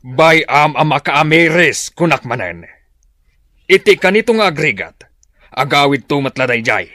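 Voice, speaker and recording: A man's voice: on the high side, animated, moderate pace, sharp tone, no echo, studio quality. An actor playing a stern, hot-tempered older father in a radio drama, scolding and indignant. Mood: stern warning. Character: irascible, stern father, middle-aged or older.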